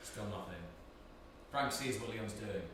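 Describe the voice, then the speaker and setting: A man's voice: lowish voice, a little slow, a bit bright. A man giving scripted narration, reading out the stage directions of a play. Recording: echoey room, distant mic, faint hiss.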